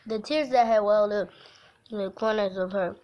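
A woman livestreaming a visual novel, reading the text aloud haltingly and adding goofy, casual asides. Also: medium pitch, a little mellow, poor audio.

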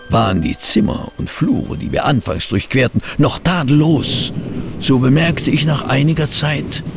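An affable gentleman sidekick in a detective audio play. His voice is creaky and very expressive, a little befuddled but eager, with music playing behind it. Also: medium pitch. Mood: measured narration. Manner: hesitant, bumbling, eager. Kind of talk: German audio drama acting with scripted narration.

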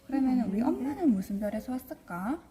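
A woman's voice: high-pitched, very animated, fast, dark tone, a little hiss or hum. A woman casually questioning a child about books, coaxing and reassuring her. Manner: friendly, coaxing, informal.

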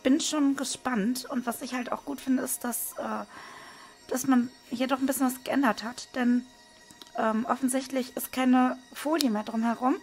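A hoarse woman talks through an unboxing at her desk, her voice rough and gravelly. She sits a little higher than most women, with soft music faint underneath. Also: normal speed. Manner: relaxed, with frequent hesitation fillers. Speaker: card unboxing video host. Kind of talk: casual unboxing commentary. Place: indoors at a desk, hands over table. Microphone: small camera-mounted microphone.

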